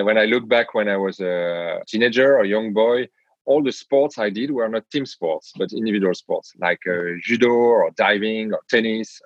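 A man with a French accent, a guest on an interview podcast, speaking in a measured way with frequent pauses and hesitations. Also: on the low side, no room sound, muffled recording. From France. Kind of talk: reflective interview talk.

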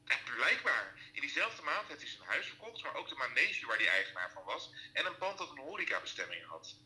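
Man acting an eager, very bright-voiced sleuth. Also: mid-range voice, brisk, rough recording. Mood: eager, conspiratorial. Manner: animated, eager, informal. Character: eager, sleuthing male newsroom colleague in audio drama. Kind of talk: audio drama dialogue.

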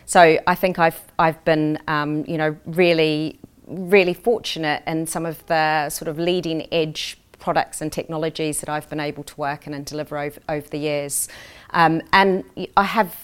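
Low-pitched middle-aged New Zealand woman. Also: steady tone, normal speed, clear, resonant tone. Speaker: interview guest, corporate executive. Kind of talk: conversational professional interview answers.

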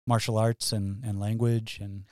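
A man from Wisconsin, a guest scholar, telling his story in a relaxed way with fillers and chuckles. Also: lowish voice, unhurried, no room sound, clean studio sound. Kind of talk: casual academic interview.

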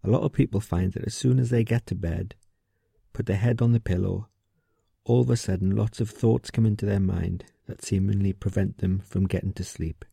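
Very mellow, low, gravelly male meditation guide. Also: steady tone, dry recording, studio quality. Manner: soothing, gentle, unhurried, with pauses. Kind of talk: guided sleep meditation, calm instruction.

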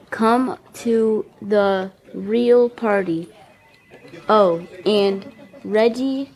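A woman's voice: mid-range voice, darkish tone, slight background noise, low-quality recording. A young female performer playing a confident, friendly, teasing schoolgirl in an amateur school radio play.

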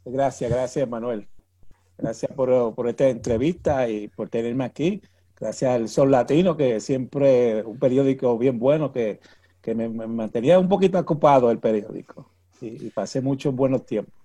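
Older puerto rican guy, mellow low voice, stumbles and repeats himself, ordinary pitch. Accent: Puerto Rican Spanish. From Puerto Rico. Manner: halting, repeats words, many fillers. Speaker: interview guest, retired police officer. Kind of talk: casual interview chat.

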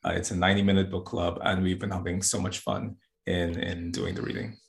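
A man's voice: low-pitched, level tone, brisk, gravelly. A man from the Bahamas, a guest astrologer and yoga teacher, talking earnestly in a casual interview and often restarting his words.

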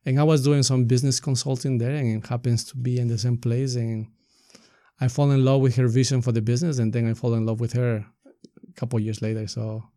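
A middle-aged man with an Ecuadorian Spanish accent speaks in an interview. His delivery is level and unanimated, with a soft, dark tone and a very dry, close sound. He explains his points conversationally. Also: medium pitch, flat delivery, fairly quick, dry recording. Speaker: podcast guest, community activist and soccer coach.

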